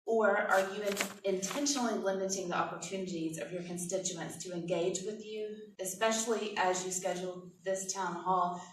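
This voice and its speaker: A woman from Alabama asking a pointed, pressing question at a town hall. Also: medium pitch. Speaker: audience member asking a question. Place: large hall with audience. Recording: echoey hall from far off with noise.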